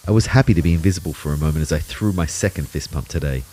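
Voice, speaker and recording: Very deep, wry narrator. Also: male voice, rapid-fire, warm tone. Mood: wry, reflective. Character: male narrator, self-deprecating everyman turned invisible ghost. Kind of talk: scripted first-person audio drama narration.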